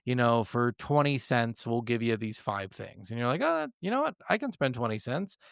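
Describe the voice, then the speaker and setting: A man's voice: medium pitch, sing-song, normal speed, creaky, clean tone, no echo, clean studio sound. A man co-hosting a casual gaming podcast, talking informally and swearing freely. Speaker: podcast co-host.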